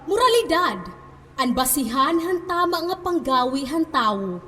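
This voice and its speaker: A woman's voice: high-pitched, big pitch swings, unhurried, crowd noise. A woman acting a stern, self-appointed defender of morality, declaiming preachily on stage. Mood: preachy, righteous. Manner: declamatory, preachy, rhetorical questions. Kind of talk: stage play monologue, declaiming. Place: stage in a reverberant hall. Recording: echoey stage hall, background noise.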